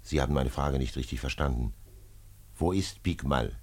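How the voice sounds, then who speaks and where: An actor playing a calm, dogged middle-aged French police commissioner in a 1950s German radio drama, questioning in a measured, terse way. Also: male voice, rumbling low. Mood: insistent, probing. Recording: noisy vintage 1950s radio recording.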